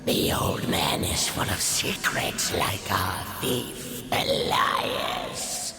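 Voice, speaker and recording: An actor playing a brooding, eerie man in a video game vision, with a hoarse, gravelly voice. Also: deep voice, extremely slow, over loud music, heavily acted. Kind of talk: dramatic video game acting. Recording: loud noisy ambience.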